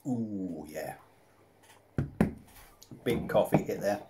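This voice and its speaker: A man's voice: fairly low pitch, drawn-out words, heavy background noise. A man, casually reviewing a beer to camera at home, chatty and self-deprecating. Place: small room at home, indoors. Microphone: camera close up at desk level.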